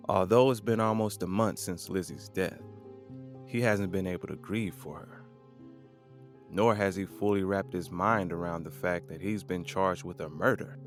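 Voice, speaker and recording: A man narrates a scripted audio drama. His voice is low-pitched, and faint music plays behind the narration. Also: fairly even intonation, normal speed.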